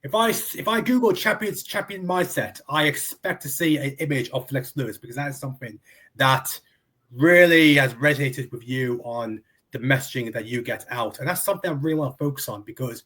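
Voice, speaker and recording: A man from the UK hosts an interview at an ordinary middle pitch, chatty and friendly, calling his guest "mate". He sounds like he's talking over a video call. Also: brisk, fairly bright tone. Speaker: interview host. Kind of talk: casual interview hosting.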